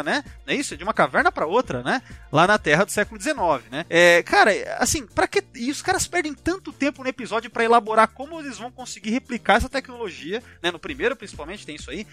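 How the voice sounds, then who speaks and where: A Brazilian man with a bright, forceful voice chats as a podcast host. His pitch swings widely as he rambles through opinions in loose slang. Faint music sits behind him. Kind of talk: casual chat.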